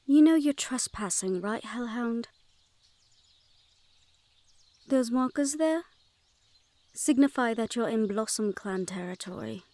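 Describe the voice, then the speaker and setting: A woman's voice: on the high side, expressive, unhurried, warm tone, dry recording. A woman playing a wary, teasing Fae border guard in a fantasy audio roleplay, with a playful, sardonic and haughty manner. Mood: stern, warning.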